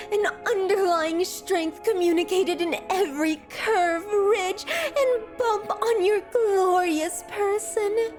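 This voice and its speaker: A woman's voice: very high-pitched, a little mellow. A woman playing an obsessive, menacingly adoring female kidnapper in an ASMR roleplay, speaking in florid, formal, theatrical phrases. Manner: florid, theatrical, formal diction, lingering over words. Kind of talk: scripted ASMR roleplay monologue.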